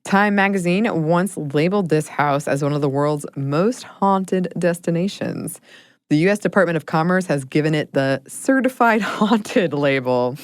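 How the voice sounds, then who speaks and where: A woman co-hosting a podcast in casual chat, laughing often and sometimes dropping to a low murmur. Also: moderate pace, no room sound, clean studio sound. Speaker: podcast co-host.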